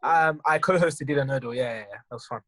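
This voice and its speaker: A man's voice: mid-range voice, fast talker, no room sound. Young man chatting on a call. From Netherlands, raised in London. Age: young adult. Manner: relaxed, says "bro" often, laughs easily. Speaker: podcast co-host. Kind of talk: casual chat on a video call. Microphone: video call.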